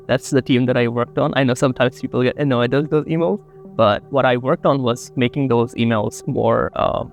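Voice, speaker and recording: A young man from Bangladesh talks through his answers in an easygoing, meandering way, leaning on plenty of fillers. His mid-pitched voice has a gravelly, fry-laden edge. Faint music sits underneath. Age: young adult. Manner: relaxed, rambling with many fillers. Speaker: interview guest, student. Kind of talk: casual interview answers.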